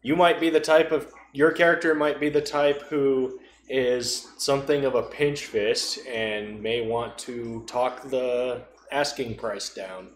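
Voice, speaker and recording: A man with a mid-pitched voice chats informally on a podcast. The sound is clearly roomy and echoing, with a little noise in the background. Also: steady tone, slow. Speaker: podcast co-speaker. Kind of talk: casual conversational chat.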